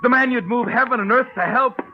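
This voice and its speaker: An actor playing a betrayed, bitter husband on the run, impassioned and furious, in a 1940s radio crime drama. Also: male voice, very high-pitched, a little fast, a loud crowd. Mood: bitter, taunting. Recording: noisy, dull old radio recording.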